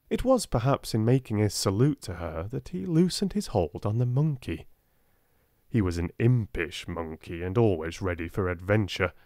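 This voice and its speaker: A man with a British accent reading a storybook aloud in a soft-spoken, gentle, expressive way. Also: medium pitch, no echo, studio quality. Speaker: audiobook narrator.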